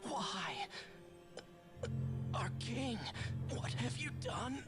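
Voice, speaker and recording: An actor playing a shocked young male soldier in a video game cutscene, halting and stricken. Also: very high voice, lively intonation, full character voice. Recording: game audio under loud music.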